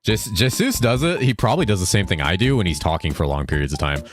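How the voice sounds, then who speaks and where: A young man streaming a video game, chatting casually with his viewers in a relaxed, rambling way, with a deep voice he holds slightly higher than its natural pitch. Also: fast, faint music behind. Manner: laid-back, rambling, swears a lot, reads chat aloud. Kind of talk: casual live-stream chat while gaming.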